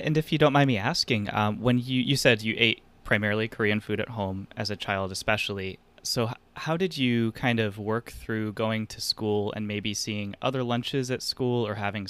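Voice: mid-range voice, normal speed, fairly bright tone, smooth. Polite, careful podcast co-host. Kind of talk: casual interview chat.

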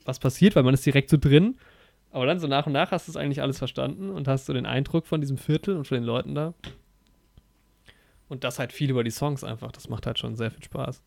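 A man's voice: medium pitch, fast, creaky, darkish tone, dry recording. A man chatting casually about a film, enthusiastic and rambling, with many fillers and restarts. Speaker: podcast co-host.

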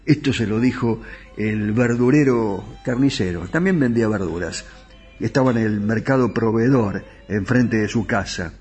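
A man's voice: on the low side, moderate pace, darkish tone, soft background music. A middle-aged man with an Argentine accent hosting a radio show in a studio, telling tango history in a chatty way and asking his listeners questions. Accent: Argentine Spanish. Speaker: radio host. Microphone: studio microphone.

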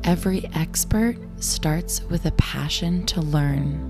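A young woman with a low, raspy voice guides listeners through calm affirmations, speaking slowly and soothingly. Gentle music plays clearly underneath her throughout. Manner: slow, soothing affirmation reading. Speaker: affirmation and meditation host. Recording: clear music bed behind voice.

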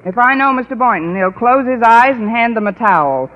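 Actress as a wry schoolteacher on crackly old radio. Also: female voice, fairly high pitch, lively intonation, a little fast, natural voice. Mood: sardonic. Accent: American. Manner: dry, sardonic wisecracking. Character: wry, quick-witted woman English teacher. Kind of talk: 1940s-50s radio comedy acting. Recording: noisy, muffled old radio recording.

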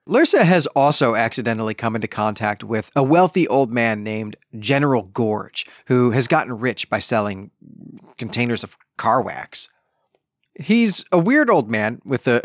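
A man's voice: medium pitch, no room sound, studio quality. A man hosting a podcast, recapping a story in a conversational, emphatic, explanatory way. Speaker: podcast host reviewing a novel.

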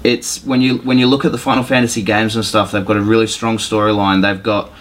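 A man's voice: fairly low pitch, flat delivery, quick pace, a bit bright. A man co-hosting a podcast, giving his opinions in casual conversation. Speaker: podcast co-host. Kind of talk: casual chat, film opinions. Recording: noisy room with background ambience.

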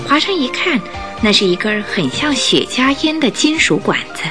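Expressive woman narrating radio drama over music. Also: high-pitched, moderate pace.

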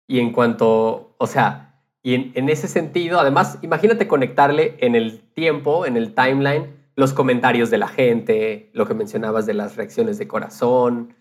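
A man chatting casually as a speaker on a conversational podcast. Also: medium pitch. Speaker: podcast co-host or guest.

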